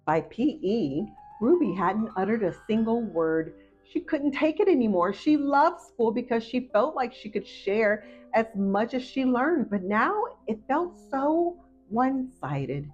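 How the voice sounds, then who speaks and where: A woman reading a children's picture book aloud as a storyteller, with expressive, excited asides to her young listeners. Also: on the high side, unhurried, highs cut off, soft background music. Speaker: read-aloud storyteller and host.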